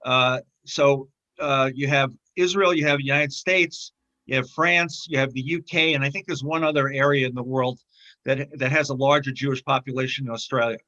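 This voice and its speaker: Man, interview guest, bright tone, halting delivery, many fillers, dull lo-fi audio. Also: mid-range voice, fairly even intonation. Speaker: film distributor, interview guest. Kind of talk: casual interview conversation.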